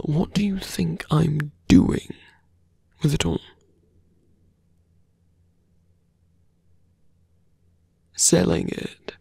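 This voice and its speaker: A man voicing a suave, condescending fantasy villain and thief in a breathy, gravelly half-whisper for an ASMR roleplay. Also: on the high side, a little mellow, no echo, studio quality, exaggerated voice. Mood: mocking, indignant. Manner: slow, deliberate, teasing. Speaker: voice actor in roleplay audio. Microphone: close, dry microphone.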